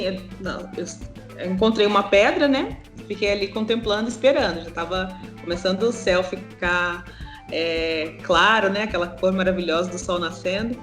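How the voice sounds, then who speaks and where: A woman's voice: medium pitch, roomy sound. A woman with a Brazilian Portuguese accent, a guest on a podcast, telling anecdotes casually with frequent tag questions. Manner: warm storytelling with tag questions. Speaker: English teacher and podcast guest. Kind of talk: casual interview chat.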